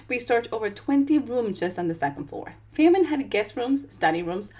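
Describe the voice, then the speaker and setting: A woman's voice: fairly high pitch, moderate pace. A woman with a Dominican accent, an author chatting with viewers and then reading her own book aloud in a live session. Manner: chatty and animated, talking straight to viewers.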